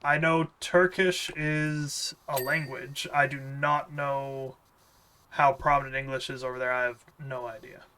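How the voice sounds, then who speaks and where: A man with a bright, tense-edged voice chats loosely while he plays a game. He rambles through viewer questions in a relaxed, offhand way, with small laughs. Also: medium pitch, unhurried, slight background noise, low-quality recording. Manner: laid-back, rambling, answers chat questions with small laughs. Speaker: gaming streamer. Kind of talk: casual gameplay chat with viewers.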